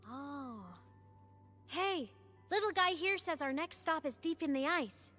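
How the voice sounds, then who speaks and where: Game role: high-pitched, teasing young female ghost, upbeat and casual, music underneath. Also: lively intonation, slow, pushed and bright, exaggerated voice. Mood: breezy, curious. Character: breezy, playful young female ghost companion. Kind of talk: video game voice acting.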